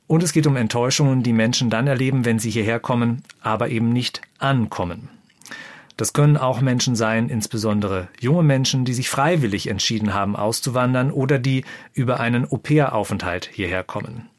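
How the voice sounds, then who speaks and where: This is a man hosting an interview in German, with a voice at a middle pitch. He explains background facts in a measured, informative way before he turns to his guest with questions. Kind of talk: interview conversation.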